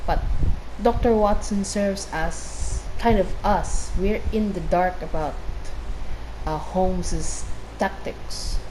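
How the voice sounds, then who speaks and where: A woman's voice: lowish voice, takes it slowly, background noise. A woman with a Filipino accent chatting casually through gameplay commentary, drifting into digressive asides. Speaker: gaming streamer. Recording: loud game music behind voice. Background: game music and dialogue.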